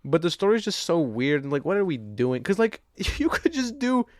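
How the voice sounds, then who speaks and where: A man's voice: a little high, big pitch swings, normal speed, darkish tone, no room sound, clean studio sound. A man co-hosting a podcast, chatting casually and profanely about films, often laughing as he talks. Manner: loose, profane, laughs while talking. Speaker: podcast co-host. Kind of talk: casual chat, film discussion.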